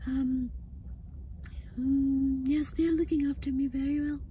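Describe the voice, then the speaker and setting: A woman in her thirties with a hoarse, breathy voice that often breaks into a whisper, upset and halting as she gives a casual personal update to camera. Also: high voice, level tone, dark tone. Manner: halting, hesitant. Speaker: vlogger. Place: inside a parked car. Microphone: phone at arm's length. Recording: faint background noise in car.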